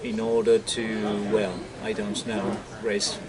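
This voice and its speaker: A man with a somewhat low voice answers at an easy pace. He pauses and weighs his words. Heavy crowd chatter fills the background. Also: flat delivery. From Spain. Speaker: secondary school English teacher. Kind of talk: interview answers. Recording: very loud crowd chatter behind.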